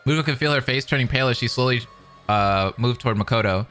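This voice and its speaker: A man reading narration dramatically and adding excited commentary as a reaction streamer. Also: medium pitch, fast. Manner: animated, dramatic. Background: faint music.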